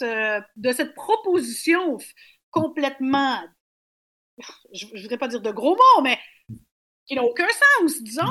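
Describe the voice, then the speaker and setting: A high-pitched woman with a Québécois French accent speaks as an interview guest. She talks in a lively, expressive way and is quick to laugh. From Quebec. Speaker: guest performer. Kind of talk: radio interview.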